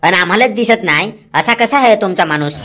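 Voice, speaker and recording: A woman's voice: medium pitch, lively intonation, fairly quick, background noise, close to an everyday voice. A woman voicing a feisty, naive, bossy village auntie in a cartoon comedy, combative. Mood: baffled, argumentative. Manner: combative, confused, boastful. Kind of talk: Marathi comedy cartoon dialogue. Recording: music behind the voice. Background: music.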